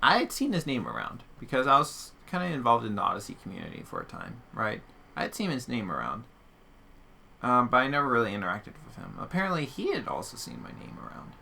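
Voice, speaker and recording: A man's voice: mid-range voice, wildly swooping pitch, fast talker, gravelly, sharp tone, a little hiss or hum. A man hosting a podcast in casual conversation, explaining his points emphatically. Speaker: podcast host. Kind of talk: casual chat.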